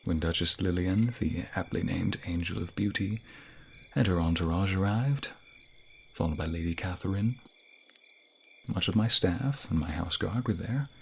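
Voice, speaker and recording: A man playing a low-voiced, tender romantic king. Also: moderate pace, slight background noise. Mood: teasing, flirtatious.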